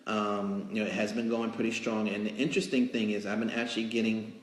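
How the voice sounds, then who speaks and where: A man with a fairly low voice hosts an improvised live show from a roomy, echoing indoor space. He talks casually and haltingly, rambling along to fill time. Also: flat delivery. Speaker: magazine editor hosting a live interview show. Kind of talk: casual improvised livestream hosting. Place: indoors in a cake studio.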